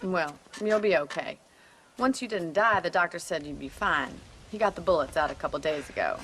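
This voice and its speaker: An actress with an American accent playing a plucky, sharp-tongued young ranch woman in a Western video game, forthright and teasing. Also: on the low side, normal speed, slight background noise, natural voice. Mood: brisk, reproachful. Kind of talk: video game cutscene acting.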